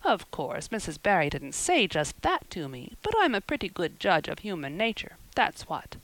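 A gossipy older neighbor holds forth in a knowing, self-satisfied tone, as a woman reader puts her on. Her pitch moves freely, and the sound is close and dry. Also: big pitch swings, moderate pace, a bit bright, no echo, poor audio. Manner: knowing, self-satisfied, repeats a pet phrase.

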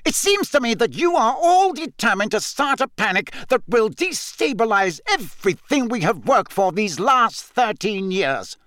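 A short, self-important minister of a wizard world blusters in a high, shrill register. His pitch swings wildly with indignation and panic. The sound is dry and close, as in a studio storybook recording. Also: big pitch swings, slow pace, few pauses, pushed and bright, no room sound, exaggerated voice. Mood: outraged, shrill. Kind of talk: audiobook character voice.

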